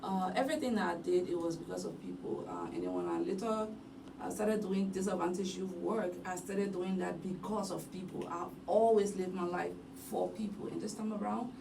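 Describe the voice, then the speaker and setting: Gravelly woman, chatty guest. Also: lowish voice, normal speed. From Liberia. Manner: chatty, laughs often, animated storytelling. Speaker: guest singer. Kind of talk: casual radio interview. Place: radio studio. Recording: roomy distant studio mic, background noise.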